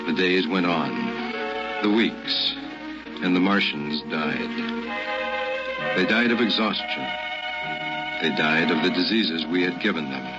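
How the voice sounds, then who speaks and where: An actor playing the grave narrator of an old-time radio drama, telling a remembered story in measured, dramatic phrases. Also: male voice, sits low. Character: grave male narrator recalling a desert march from boyhood. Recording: dull old radio recording with music.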